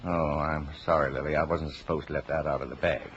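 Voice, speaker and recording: An actor playing a pompous, brisk middle-aged American father in a radio family comedy, speaking in a formal, commanding, theatrical way. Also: a bass voice, flat delivery. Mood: gentle. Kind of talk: 1950s radio comedy acting. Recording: muffled, noisy old radio recording.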